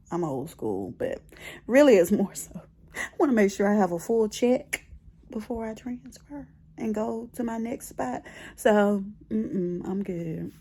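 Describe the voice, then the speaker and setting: A woman's voice: mid-range voice, darkish tone. A middle-aged American woman chatting casually in a personal vlog, telling her story expressively and repeating phrases for emphasis. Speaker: personal vlogger. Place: bedroom at home.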